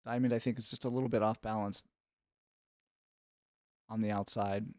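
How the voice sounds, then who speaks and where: A man's voice: fairly low pitch, fairly even intonation, normal speed, a little mellow, no echo, studio quality. A man giving analytical play-by-play sports commentary in an instructive, explanatory way.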